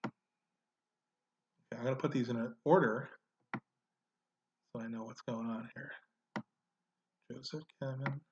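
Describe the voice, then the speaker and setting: A man of ordinary pitch talks through a software walkthrough, thinking aloud and pausing on "uh" and "um." His home recording is dull and lo-fi, with steady noise and the clicks and typing of a computer behind him. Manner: thinks aloud with frequent fillers. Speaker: genealogy tutorial host.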